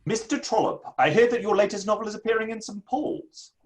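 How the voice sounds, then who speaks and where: A man's voice: high-pitched, a little fast, lightly acted. A man in a play reading, acting a florid, theatrical Victorian playwright with a declamatory manner. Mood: effusive flattery. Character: flowery, theatrical, litigious Victorian playwright and gentleman.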